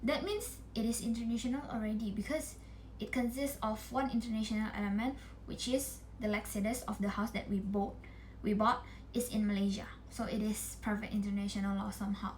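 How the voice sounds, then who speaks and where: A woman's voice: on the high side, fairly bright tone, slight background noise, rough recording. A young woman, a student presenting a law assignment in a casual, explanatory way, often restarting her sentences. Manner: casual, restarts often, many fillers like "like" and "lah". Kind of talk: informal explanatory class presentation.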